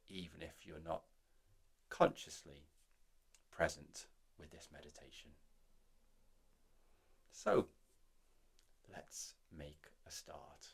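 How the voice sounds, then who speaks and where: Slow, low-voiced man guiding meditation. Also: creaky. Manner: soothing, reassuring, unhurried. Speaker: guided meditation host. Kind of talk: gentle guided meditation narration.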